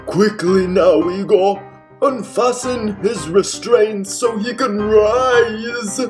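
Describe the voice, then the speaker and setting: A man's voice: high voice, takes it slowly, over music, pitched above the performer's own voice. A man playing a theatrical, gleeful mad scientist. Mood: excited, commanding. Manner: theatrical, exclaiming, cackling. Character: gleeful megalomaniac mad scientist, villainous and bossy. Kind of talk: 1940s radio mystery acting, comedic.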